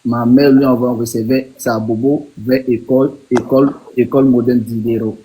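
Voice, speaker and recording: A man on a live stream, telling how his younger sister went missing, speaking haltingly and repeating words. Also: medium pitch, steady tone, dark tone, slight background noise, rough recording.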